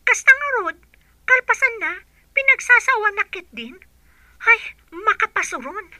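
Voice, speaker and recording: A woman playing an excited young friend who shares gossip. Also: extremely high pitch, normal speed, dry recording, exaggerated voice. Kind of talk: radio drama acting.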